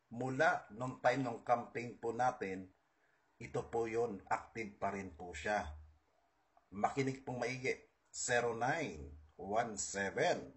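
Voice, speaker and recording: A man with a Filipino accent, giving an informal, combative monologue to online followers, stressing and repeating his points. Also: medium pitch. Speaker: political video host.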